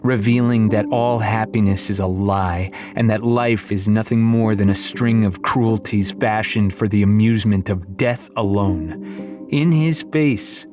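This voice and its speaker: A man narrating a fantasy story for young readers in a theatrical, wry storytelling manner. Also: fairly low pitch. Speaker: audiobook narrator. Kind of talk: dramatic scripted narration of a middle-grade fantasy. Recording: music behind the voice.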